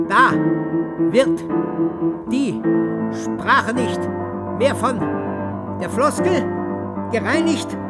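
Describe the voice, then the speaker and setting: A man's voice: very high-pitched, full character voice. An actor playing a fervent male music lecturer in a radio play, declaiming in an ecstatic manner. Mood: urgent, fervent. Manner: declamatory, ecstatic, breaking into singing and shouting. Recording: piano music loud under the voice. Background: piano playing.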